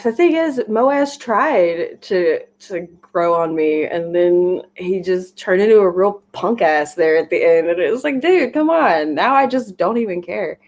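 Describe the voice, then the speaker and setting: Emphatic woman co-host, slightly low voice. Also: lively intonation, measured pace, hardly any pauses, a little mellow. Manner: informal, slangy, emphatic. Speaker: co-host of a book discussion livestream. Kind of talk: casual livestream chat.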